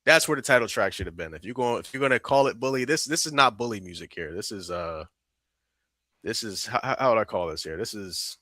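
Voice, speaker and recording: Laid-back man with bright, dry voice. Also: on the low side, lively intonation, fairly quick, no echo. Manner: laid-back, hedging, trails off and restarts. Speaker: podcast co-host reviewing an album. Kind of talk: casual music review chat.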